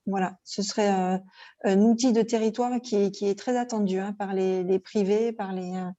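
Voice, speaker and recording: Slightly muffled, lo-fi sound carries a woman's mellow, mid-pitched voice. She answers informally as a panel speaker. She hesitates often while searching for her words. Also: steady tone, moderate pace. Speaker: local government panel speaker.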